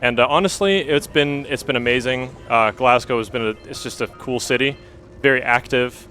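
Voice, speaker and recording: A man's voice: medium pitch, moderate pace, bright, forceful tone, soft background music. A man from Denmark giving casual, chatty live commentary as a speedrunner, with an easygoing manner.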